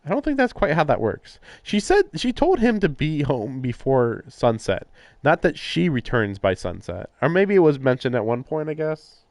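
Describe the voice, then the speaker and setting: A man's voice: on the high side, sing-song, moderate pace, dry recording. A man livestreaming a visual novel, casually reading narration aloud and adding sardonic, incredulous asides. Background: game music.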